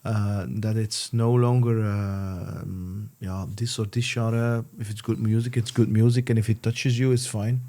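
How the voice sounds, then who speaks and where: A man hosting a casual interview, talking haltingly with many restarts and fillers. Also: on the low side, level tone, dark tone. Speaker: podcast host.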